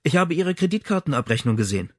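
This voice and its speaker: A man's voice: fairly high pitch, big pitch swings, quick pace, no room sound, clean studio sound, pitched above the performer's own voice. A man voicing a matter-of-fact female police officer with a direct, informal manner in an audiobook.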